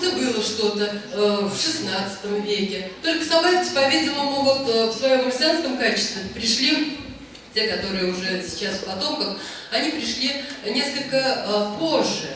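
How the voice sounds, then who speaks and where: A woman's voice: a little high, a bit bright. A woman telling a rambling, anecdotal story at a book presentation, hesitating often as she talks. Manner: chatty, rambling, frequent hesitations. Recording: echoey hall, voice from far off, faint noise.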